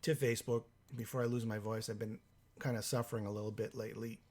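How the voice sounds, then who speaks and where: A hoarse man with a cold hosts a live stream, reading out viewer comments in a halting way with many fillers. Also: lowish voice, flat delivery, darkish tone. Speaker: livestream host.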